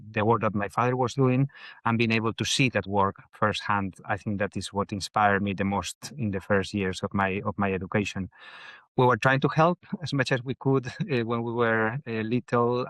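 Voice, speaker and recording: Soft-spoken Spanish-accented man. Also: fairly low pitch, no echo. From northern Spain. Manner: thoughtful, many hesitations. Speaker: architect and educator, guest. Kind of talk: conversational interview answers.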